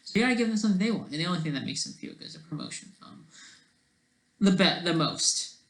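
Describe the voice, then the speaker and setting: A man talking off the cuff in a casual, rambling monologue, halting and jumping between thoughts, often checking in with questioning tags. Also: high-pitched, sing-song, fairly bright tone. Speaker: solo online video creator. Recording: echoey, roomy sound.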